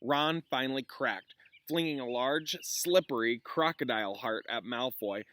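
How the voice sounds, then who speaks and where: A man's voice: medium pitch, unhurried, fairly bright tone, dry recording. A man reading a storybook aloud as a classroom teacher, expressively, with informal asides for pupils. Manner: informal, explains words for pupils.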